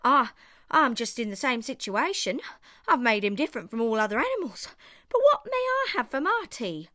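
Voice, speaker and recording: Woman acting male wild dog, storybook character, high pitch, loud, whiny, hungry, bewildered, swooping. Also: very animated, normal speed, no echo, studio quality. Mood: hungry complaint. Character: ever-hungry, bewildered male yellow dingo.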